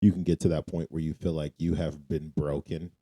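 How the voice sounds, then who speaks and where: A man's voice: a bass voice, little pitch movement, a little fast, dark tone. A man co-hosting a podcast, speaking casually and conversationally, close to the microphone. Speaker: podcast co-host. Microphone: podcast microphone close to the mouth.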